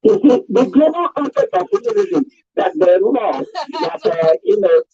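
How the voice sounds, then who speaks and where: A man giving his opinion in a radio discussion, speaking in a halting, emphatic, argumentative way. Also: sits high, big pitch swings, crowd noise. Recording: dull lo-fi sound.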